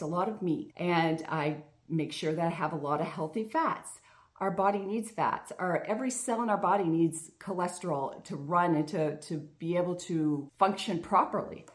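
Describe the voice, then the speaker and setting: A woman in her fifties hosts her own health vlog, speaking straight to the camera. She talks in an earnest, chatty way, often restarting and repeating phrases. Her voice sits a little lower than most women's. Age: 50s. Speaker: health coach, vlog host. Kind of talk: casual talking-to-camera vlog.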